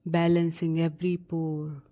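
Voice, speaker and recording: A woman narrating a guided sleep meditation in a soothing, unhurried manner with long pauses between short instructions. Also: low-pitched, flat delivery, dark tone.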